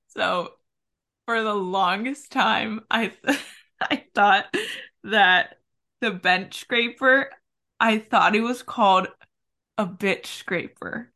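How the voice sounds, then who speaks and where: A woman's voice: mid-range voice, slow, pauses often, sharp tone. A woman hosting a podcast, chatting and telling stories with frequent laughter. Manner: chatty, giggly storyteller. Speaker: podcast host. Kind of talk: casual podcast chat.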